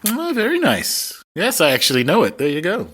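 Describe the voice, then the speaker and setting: A man's voice: high-pitched, huge pitch swings, brisk, fairly bright tone, slight background noise. A man hosting a podcast, speaking as presenter and interviewer. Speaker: podcast host. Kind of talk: scripted podcast introduction, then casual interview. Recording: recorded in a pub, cleaned up.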